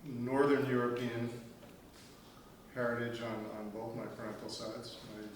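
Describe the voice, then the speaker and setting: A middle-aged man talks at an even, ordinary pitch, measured and plainspoken, with a wry dry humor. He sits well away from the microphone in a very echoey room. Clear background noise hangs under his voice. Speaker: discussion participant. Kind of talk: casual roundtable discussion. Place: room with a table, group seated. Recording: distant mic in very echoey room, noisy.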